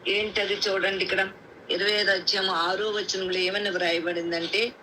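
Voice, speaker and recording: A woman's voice: mid-range voice, level tone, moderate pace, bright, forceful tone, poor audio. A woman with a coastal Andhra accent preaching a Bible sermon on a group call, explaining point by point, repeating phrases and asking rhetorical questions. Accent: coastal Andhra Telugu. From Andhra Pradesh, India. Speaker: lay preacher. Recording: faint background noise on conference call.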